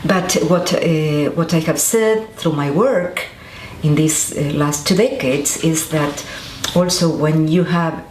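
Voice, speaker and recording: Argentine-born woman scientist, low voice, echoey room. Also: takes it slowly, hardly any pauses, a little mellow. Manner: thoughtful, many restarts and fillers. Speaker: interviewee, scientist. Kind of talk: conversational interview answers. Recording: echoey room with faint noise.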